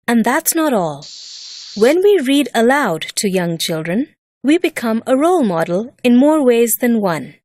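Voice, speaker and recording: A woman narrating a scripted educational lesson in a measured, deliberate and expressive way, like a teacher explaining step by step. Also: on the high side, no room sound, studio quality.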